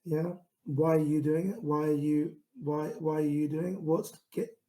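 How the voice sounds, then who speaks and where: A man with a London accent giving an online talk to camera, speaking in a steady, self-described monotone with frequent fillers and restarts. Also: on the high side, moderate pace. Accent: London English. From England. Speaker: founder giving a pitching talk.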